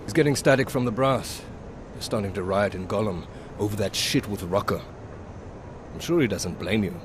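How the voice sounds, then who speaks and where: An actor playing a weary, middle-aged male pilot in a video game, sardonic and dry, dropping low at the ends of lines. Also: normal speed, background noise, natural voice. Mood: wry. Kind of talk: video game cutscene dialogue.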